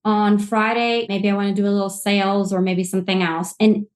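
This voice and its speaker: Excited lady from poland chatting about marketing, kinda rambly, restarts a lot, mid pitch, echoey room. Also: brisk. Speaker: social media agency guest. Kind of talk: podcast interview answers. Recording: roomy echo.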